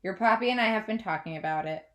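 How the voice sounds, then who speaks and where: A woman's voice: mid-range voice, fast, close to an everyday voice. Woman reading as a concerned but firm mother. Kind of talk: children's novel read-aloud.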